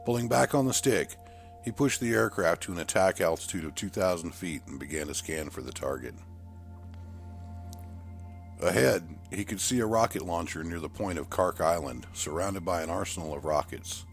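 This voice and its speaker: Deep male voice, audiobook narrator, military thriller, dramatic and deliberate, music underneath. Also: gravelly.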